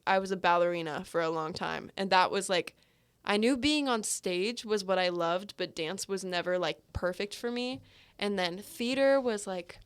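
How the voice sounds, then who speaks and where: A woman's voice: mid-range voice, fairly bright tone, clear, resonant tone. A young woman from Maryland with a slight lisp, chatting casually as a podcast guest, with many filler words and frequent laughs. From Maryland, USA. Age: young adult. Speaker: guest singer-songwriter.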